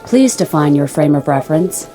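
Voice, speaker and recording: A woman playing a polite, literal-minded spaceship computer in an audio drama, speaking in a formal, measured, impassive way. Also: deep voice, expressive, music in the background. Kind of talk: radio drama dialogue.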